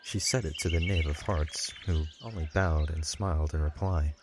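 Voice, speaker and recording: A man's voice: extremely low pitch, normal speed, background noise. A man narrating a scripted audiobook story.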